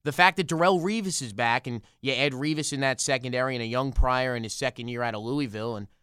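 Clear American sports podcast co-host, dry sound. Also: male voice, medium pitch, fast, fairly bright tone, no room sound. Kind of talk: sports talk analysis.

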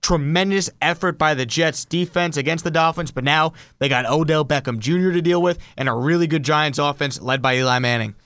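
A male American sports podcaster with a slightly high voice talks fluently and emphatically about football. The sound is very dry and close, in a sports talk style. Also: fairly quick, few pauses, fairly bright tone, smooth, dry recording.

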